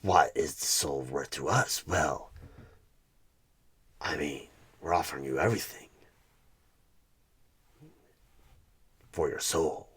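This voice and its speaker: A man playing a sinister, smug devil of a recruiter in a horror ASMR roleplay, coaxing and condescending, with a gravelly voice that often slips toward a whisper. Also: very deep voice, fairly bright tone, exaggerated voice. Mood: haggling, condescending. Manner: slow, deliberate, coaxing, condescending. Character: sinister devilish male recruiter, smug soul-buying tempter. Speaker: roleplay performer playing a job interviewer. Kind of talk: one-sided horror ASMR audio roleplay.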